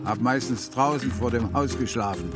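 Actor as bitter frontier guy raised comanche, mid-pitched, matter-of-fact, radio western with music behind. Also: moderate pace, close to an everyday voice. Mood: wounded, reflective. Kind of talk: German radio drama acting. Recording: music under the dialogue.

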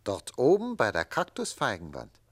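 An actor playing a weary, wistful middle-aged official lost in memories, dreamy and theatrical, in a German radio drama. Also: male voice, lowish voice, wildly swooping pitch, normal speed, no room sound.

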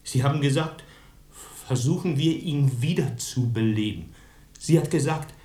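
An actor as a timid young man with a heavy stutter. He speaks slowly and haltingly in a dark, soft tone, a wounded outsider in a radio play with a roomy echo. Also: mid-range voice, close to an everyday voice. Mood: hurt, matter-of-fact. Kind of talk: radio drama acting.

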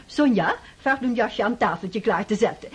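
An actress playing a gracious, gently fussing middle-aged mother and countess in a radio drama. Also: on the high side, animated, normal speed, breathy edge. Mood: gracious, chiding. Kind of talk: Dutch radio drama acting.

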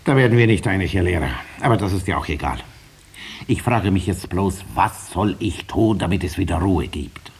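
A put-upon farmer in 1950s radio drama. His voice is deep and rough-edged, with a lively rise and fall. He is stubborn and dryly cheeky. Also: moderate pace, exaggerated voice. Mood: aggrieved.

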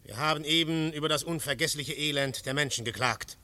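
Forceful, fervent defender of order. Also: male voice, fairly high pitch, fairly quick. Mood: defiant. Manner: fervent, rhetorical, swinging from hushed to outcry. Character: defiant, fervent male champion of law and order. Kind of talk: German radio play acting.

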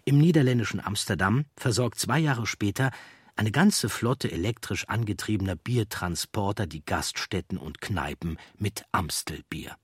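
A man reads scripted documentary narration in a measured, factual way. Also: lowish voice, expressive, slightly rough, no echo, clean studio sound.

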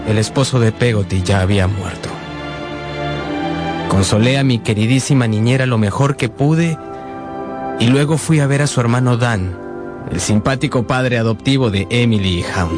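A male actor plays a sensitive young man in a radio drama, both narrating and speaking in scenes. His mid-range voice is earnest and emotive, with music clearly audible behind it. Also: mid-range voice, normal speed, close to an everyday voice. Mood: wistful narration.

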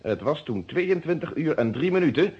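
A man's voice: on the high side, very animated, moderate pace, husky, full character voice. A man playing a methodical, unyielding police inspector in a Dutch detective radio play, speaking in formal, precise phrases. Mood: firm. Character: methodical, unyielding police inspector questioning his own friend. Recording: dull lo-fi old radio recording.

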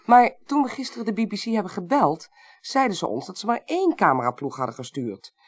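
A woman playing a sharp, composed, sceptical investigator with a Netherlands accent and precise, polite speech in a Dutch radio drama. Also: mid-range voice, very animated, brisk. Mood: doubting, analytical.

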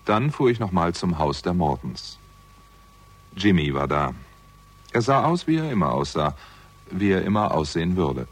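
A man's voice: deep voice, sing-song, normal speed, pauses often. An actor playing a weary, bitter theatrical agent who narrates his own story in a terse, sardonic way in a 1960s German crime radio drama. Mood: weary, reflective.

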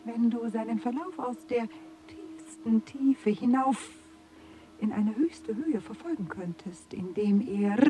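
A solemn, menacing cosmic being in a radio play, high yet dark and mellow. It intones its judgement slowly and deliberately, over faint music. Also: female voice. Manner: incantatory, deliberate, dramatic phrasing. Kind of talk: audio drama acting.

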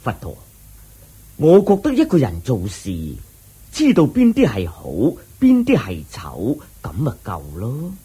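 A slow, deferential official. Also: male voice, medium pitch, huge pitch swings, dark tone, breathy edge, exaggerated voice. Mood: inquisitive. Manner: deferential, inquisitive. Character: earnest, respectful male official of a small kingdom. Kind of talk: radio drama acting.

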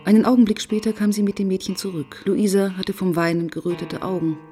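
An actress playing a sharp-tongued, impatient police inspector who narrates a radio crime drama in a brusque, sardonic manner. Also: female voice, medium pitch, little pitch movement, a little fast, soft background music, lightly acted. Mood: narrating, sober. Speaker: narrator and lead character.

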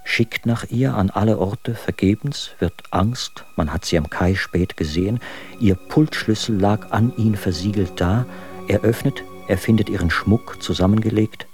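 An actor playing a sober letter writer, giving a precise, unsentimental report in a literary radio drama. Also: male voice, low voice, darkish tone.

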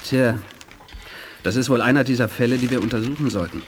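An actor playing an earnest, inquisitive time-travelling investigator in a radio play, articulate and thoughtful. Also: male voice, mid-range voice, a little fast, slight background noise, lightly acted. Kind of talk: 1980s German radio play acting. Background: faint music.

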